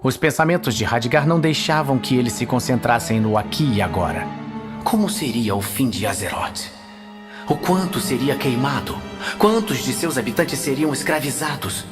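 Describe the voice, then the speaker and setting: A man with a Brazilian accent narrating a scripted fantasy audio series in a dramatic style. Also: medium pitch.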